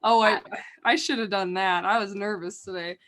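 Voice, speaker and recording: A young woman hosting a casual livestream, chatty and giggly with a naturally loud voice and lots of fillers. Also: medium pitch, steady tone, moderate pace, background noise. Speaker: livestream host.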